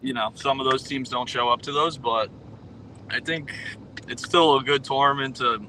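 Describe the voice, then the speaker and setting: Bright-voiced hesitant young man. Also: medium pitch, fairly even intonation, normal speed, a little hiss or hum, poor audio. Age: 20s. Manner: hesitant, many ums and you knows. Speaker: guest, pro roller hockey player. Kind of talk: casual interview chat.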